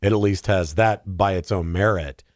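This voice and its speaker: A man's voice: low voice, moderate pace, no room sound, clean studio sound. A man chatting casually as a podcast guest about films, emphatic and given to comic exaggeration. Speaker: podcast guest, film commentator. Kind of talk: animated casual film banter.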